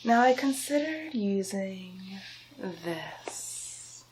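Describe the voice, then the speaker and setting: A woman playing a scheming, power-hungry royal vizier in an ASMR villain roleplay, speaking softly and deliberately with theatrical menace and often dropping into a whisper. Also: medium pitch, expressive, slight background noise. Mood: sly, gloating. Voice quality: soft, breathy, half-whispered.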